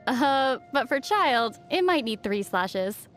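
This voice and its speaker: An actress playing a calm, sensible, gently teasing young traveler heroine in a video game. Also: female voice, high voice, takes it slowly, over loud music, exaggerated voice. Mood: skeptical. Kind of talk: scripted video game dialogue.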